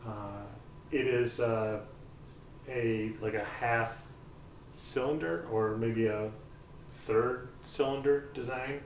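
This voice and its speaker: A middle-aged man talks through a speaker review at an unhurried, halting pace, filling gaps with ums and uhs. His voice sits in a middle register and carries the roomy ring of a small space. The camera mic is set back, adding a little distance and faint background ambience. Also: medium pitch, steady tone, slight background noise. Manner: hesitant, many ums and uhs, thinking aloud. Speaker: audio equipment reviewer. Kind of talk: casual spoken review. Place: small room with speakers and a TV, indoors. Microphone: far camera on a tripod.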